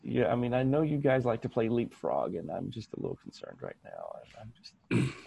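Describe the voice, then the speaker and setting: An American man co-hosting a podcast in casual chat, halting with many restarts and fillers. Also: mid-range voice, sing-song, gravelly, mellow, husky, dry recording. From United States. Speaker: podcast co-host.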